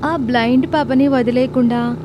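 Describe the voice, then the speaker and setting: A woman's voice: sits high, steady tone, normal speed, muffled recording, noisy background, close to an everyday voice. A woman playing an anxious, deferential daughter-in-law in an audio moral story. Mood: anxious, apologetic.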